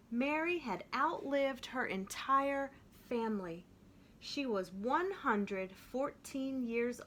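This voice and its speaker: A woman reading a children's picture book aloud in an expressive way, slowing down to stress key phrases. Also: medium pitch, a little hiss or hum. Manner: expressive, with slowed emphatic phrases. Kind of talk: storybook read-aloud.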